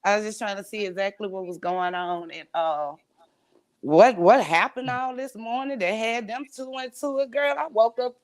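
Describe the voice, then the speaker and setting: A woman's voice: mid-range voice, moderate pace, dry recording. A woman in her thirties chatting casually on a livestream, with sassy, playful, slangy banter. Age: 30s. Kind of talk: casual gossipy chat.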